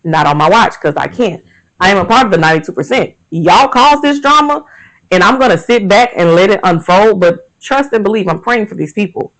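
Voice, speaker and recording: A woman's voice: medium pitch, lively intonation, fairly quick, a bit bright, low-quality recording. A woman hosting a podcast, talking candidly and emphatically straight to her listeners about faith and everyday pressures. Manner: candid, emphatic, conversational, addresses listeners directly. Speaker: podcast host. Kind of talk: candid faith and wellness monologue.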